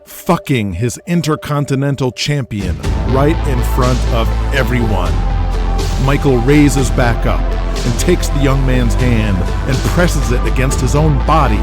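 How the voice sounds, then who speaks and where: A man's voice: mid-range voice, lively intonation, measured pace. A man narrating an audio drama as its host, in a dramatic scripted storytelling style. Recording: music behind the voice. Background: music bed.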